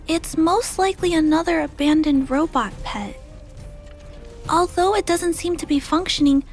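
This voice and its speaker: Little girl, high voice, gentle, polite, earnest, careful, video game character, faint music. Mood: cautious. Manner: polite, soft-hearted, careful.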